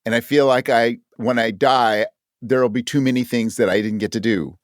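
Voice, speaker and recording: A man's voice: mid-range voice, fairly even intonation, normal speed, no echo, clean studio sound. A man talking as an interview guest in a casual, reflective way, thoughtfully rephrasing as he goes. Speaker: interview guest, audio drama creator.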